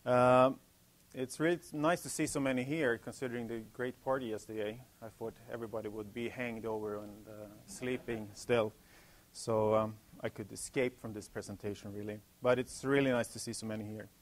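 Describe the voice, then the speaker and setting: A man from Sweden with a Swedish accent, English not his first language, giving a technical conference presentation in a halting way with many restarts. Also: mid-range voice, steady tone. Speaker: conference speaker. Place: conference hall.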